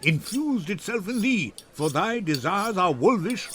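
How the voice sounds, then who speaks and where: Older man as scornful, high-voiced hot-tempered youth. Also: very animated, measured pace, fairly bright tone, heavily acted. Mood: scornful outrage. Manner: declamatory, scornful, biting. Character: hot-tempered young man railing at his enemy. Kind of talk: dramatic Shakespeare reading.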